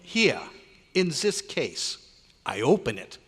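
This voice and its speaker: An actor playing a stern, excitable scientist with a German accent and formal, emphatic phrasing in an old-time radio drama. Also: male voice, on the high side, slow pace, heavily acted. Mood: instructive, proud. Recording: echoey live hall recording, faint noise.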